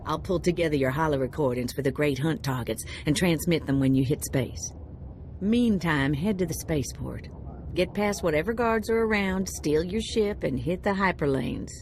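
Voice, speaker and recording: An actress playing an upbeat, streetwise female handler who briefs a hunter in a brisk, breezy way. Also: deep voice, darkish tone, slight background noise.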